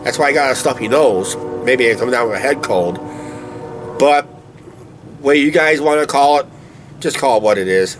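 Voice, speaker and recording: A man's voice: medium pitch, animated, normal speed, long pauses, a little hiss or hum. Stuffy-nosed man rambling outdoors. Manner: meandering, filler-heavy, "uh, basically" talk. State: stuffy blocked nose. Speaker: co-host of a vlog channel. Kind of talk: casual rambling chat over a beer. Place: outdoors at night near a shed. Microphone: camera on a tripod.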